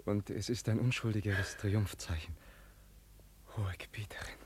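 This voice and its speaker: An actor playing a proud, devoted young knight in a radio drama, formal and halting in his fervour. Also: fairly low pitch, steady tone, a little mellow, slight background noise, lightly acted. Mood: proud, deferential. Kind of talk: classic German radio drama acting.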